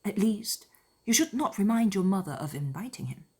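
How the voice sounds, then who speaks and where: A woman voicing a spirited young woman in an audiobook drama, with an animated, emphatic manner, playful yet sincere. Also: mid-range voice, moderate pace, slightly rough. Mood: earnest, emphatic. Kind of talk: dramatized audiobook character dialogue.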